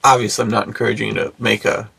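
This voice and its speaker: A man's voice: on the low side, little pitch movement, rapid-fire, vocal fry, sharp tone, husky. A man co-hosting a podcast with jokey, slangy, casual banter. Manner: jokey, slangy, often chuckling. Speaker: podcast co-host.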